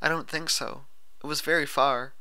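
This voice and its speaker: A man's voice: on the high side, steady tone, normal speed, pushed and bright, clear, resonant tone, voice pushed up. A man voicing a timid, anxious young female pegasus pony for an audiobook story. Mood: timid, nervous. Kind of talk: audiobook character voice.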